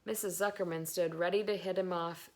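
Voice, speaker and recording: A woman reading a children's book aloud in expressive scripted narration. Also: fairly low pitch, fairly quick. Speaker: story reader.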